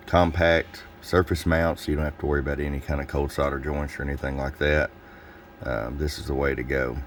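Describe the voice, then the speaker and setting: A man giving a casual product review and demonstration as a seller, explaining things in an informal, unhurried way. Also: a bass voice, a little hiss or hum. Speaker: radio seller presenting a product demo.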